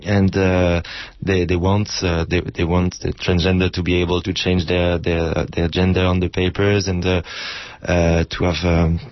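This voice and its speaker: A man's voice: low voice, little pitch movement, unhurried, hardly any pauses, no room sound, lo-fi sound. A man who is not a native English speaker answers interview questions unscripted, searching for his words as he goes. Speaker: interviewed documentary co-director and translator.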